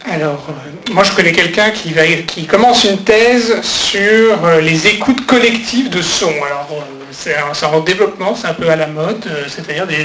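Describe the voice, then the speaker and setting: A high-voiced man moderates a conference panel and asks his questions haltingly, with plenty of fillers. The hall around him is echoey. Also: a bit bright. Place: conference hall at a trade fair.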